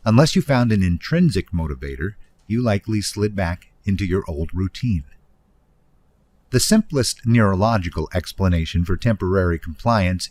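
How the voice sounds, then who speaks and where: A man's voice: on the low side, a little mellow. A man reading scripted nonfiction narration in an explanatory manner, speaking directly to the listener. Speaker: audiobook narrator, author reading own book.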